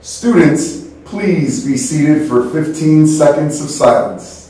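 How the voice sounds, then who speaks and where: A man co-hosting a school morning broadcast, reading announcements in a steady, formal way. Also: fairly high pitch, slow pace. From United States. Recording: echoey room, distant mic.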